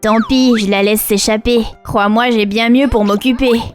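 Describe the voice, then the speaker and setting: High-voiced scheming cartoon witch, played by a woman. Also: steady tone, moderate pace, close to an everyday voice. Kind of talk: theatrical rhymed cartoon acting. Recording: music behind the voice.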